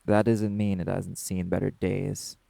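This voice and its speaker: A man's voice: level tone, measured pace, mellow, dry recording, exaggerated voice. A man playing a brash, guarded young werewolf musician in an audio drama, with curt, sarcastic, dismissive delivery. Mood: irritated, curt. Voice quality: gravelly, low. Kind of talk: dramatic audio roleplay acting.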